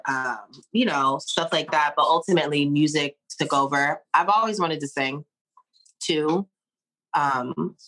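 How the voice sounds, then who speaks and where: A woman in her thirties from Pittsburgh, a singer-songwriter answering interview questions in a relaxed, rambling way. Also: deep voice, poor audio. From Pittsburgh, USA. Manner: relaxed, rambling with fillers and laughs.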